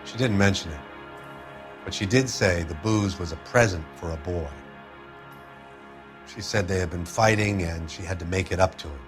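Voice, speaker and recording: Low-voiced, world-weary man played by an actor. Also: moderate pace, pauses often, music in the background, close to an everyday voice. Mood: matter-of-fact. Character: downbeat, world-weary male friend of a murdered woman. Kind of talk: 1940s crime game dialogue acting.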